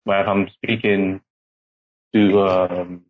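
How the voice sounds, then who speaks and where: A man's voice: low voice, flat delivery, slow pace. A young man who grew up in Singapore, talking casually with a British accent and rambling through many fillers. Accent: British English, code-switching from Singaporean. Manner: rambling, heavy use of filler words. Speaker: podcast guest. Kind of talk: casual chat. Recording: muffled lo-fi podcast audio.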